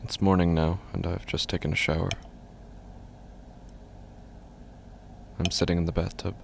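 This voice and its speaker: A man acting as a bereaved, subdued widower in a horror audio drama, speaking in a halting, understated way. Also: sits low, creaky, slight background noise. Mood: numb, quiet. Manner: halting, flat, understated delivery. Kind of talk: scripted horror fiction narration.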